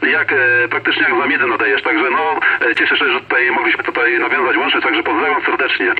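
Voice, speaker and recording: A man's voice: mid-range voice, a little fast. A man chatting casually as an amateur radio operator, greeting others and giving reports. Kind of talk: casual radio contact chat. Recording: tinny narrow radio signal, light static. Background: faint radio static.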